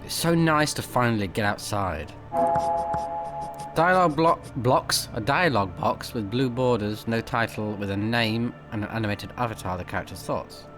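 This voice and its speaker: A man's voice: medium pitch, moderate pace, music in the background. A man recording a let's play, switching between casual off-the-cuff commentary and reading game text aloud. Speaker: let's player, game streamer.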